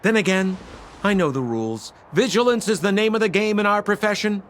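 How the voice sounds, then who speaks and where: A man's voice: sits high, a little fast, a bit bright, a little hiss or hum. A man playing a sly, theatrical, ingratiating treasure-hunting con man in a video game. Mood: glib, persuasive.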